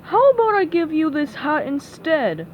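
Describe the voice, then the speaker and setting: A woman's voice: high voice, very animated, unhurried, voice pushed up. A woman voicing a childlike, polite, bewildered male cartoon sea sponge in a children's storybook reading. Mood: polite, cheery. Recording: dull, noisy recording.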